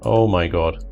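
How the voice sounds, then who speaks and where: A man's voice: on the low side, a bit bright, lo-fi sound. A man hosting a livestream, chatting casually and jokily while playing a game. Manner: chatty, jokey, drifts into mock voices and laughter. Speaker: livestream host. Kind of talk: casual chat while gaming.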